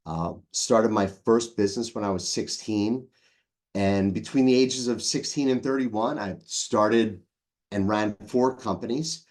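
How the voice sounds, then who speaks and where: A man in his forties with a Philadelphia accent and a lowish voice, speaking as a podcast guest. He tells his career story in a relaxed, chatty way, with ums and quick restarts. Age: 40s. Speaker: guest, leadership coach. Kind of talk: casual interview.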